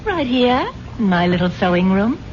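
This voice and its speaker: An actress playing a rich, credulous middle-aged American wife in an old radio drama, genteel and earnest in manner. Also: female voice, mid-range voice, very animated, normal speed, close to an everyday voice. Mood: sweetly coy. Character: rich, credulous, genteel wife, strong-willed when crossed. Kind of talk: 1950s radio drama acting. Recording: dull vintage radio recording, faint noise.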